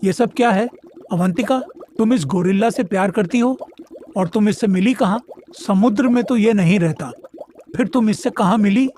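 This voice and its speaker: A man's voice: sits high, normal speed, darkish tone, slight background noise, close to an everyday voice. A man playing a stern, protective merman father in a cartoon moral story, scolding with authority. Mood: shocked, indignant.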